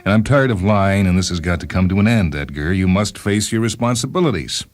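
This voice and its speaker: Storybook role: weary, scolding wife, bitter and nagging, voiced by a male narrator, very deep, music behind. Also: animated, brisk. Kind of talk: dramatised short-story reading.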